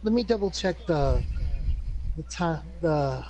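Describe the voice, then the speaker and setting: A man in a tabletop game group chatting casually, slow and deliberate when listing things. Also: on the high side, expressive, dark tone, slight background noise, rough recording. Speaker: player. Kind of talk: casual chat.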